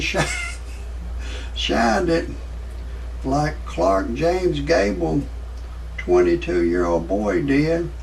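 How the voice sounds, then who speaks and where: A man in his sixties giving rambling, off-the-cuff commentary in a folksy, repetitive, halting way, as an amateur video host. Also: on the high side, creaky, darkish tone, recorded from a distance, slight background noise, low-quality recording. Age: 60s.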